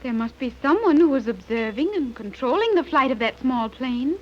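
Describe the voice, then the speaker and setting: A cautious, earnest young woman in a 1930s radio serial. Her voice is high and her diction precise and rather stilted. The old recording sounds dull. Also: lively intonation, normal speed, a little hiss or hum. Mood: measured.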